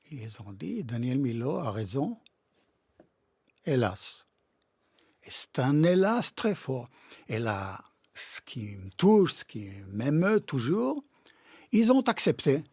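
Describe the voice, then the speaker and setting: A man speaking with a foreign accent in a language not native to him, explaining ideas emphatically and haltingly as a radio interview guest. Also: medium pitch, a little mellow, husky, dry recording. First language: not French. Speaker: guest philosopher and author. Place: radio studio.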